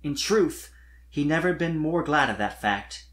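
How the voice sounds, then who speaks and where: An expressive storyteller narrates scripted fiction with highly animated intonation. The voice sits in a middle range and swings up and down through each sentence. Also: measured pace.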